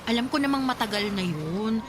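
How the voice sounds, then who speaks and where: Actress as a lively high-voiced teasing young woman. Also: a little fast, noisy background, natural voice. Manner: playful, exclamatory, teasing. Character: teasing, cheeky young woman, the heroine's cousin. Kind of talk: Tagalog radio drama acting.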